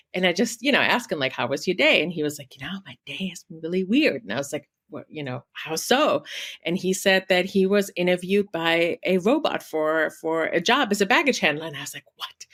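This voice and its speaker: A woman's voice: lowish voice, fairly bright tone. A woman in her thirties or forties from Germany with a German accent, chatting as an interview guest from her home office, with lots of fillers and restarts. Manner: chatty, many fillers and restarts, laughs often. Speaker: guest journalist and author. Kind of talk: conversational interview.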